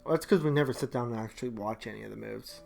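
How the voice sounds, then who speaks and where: Really low voiced woman podcasting, teasing slangy chat, bit of music behind her. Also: racing, creaky, mellow. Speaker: podcast co-host. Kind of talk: casual banter.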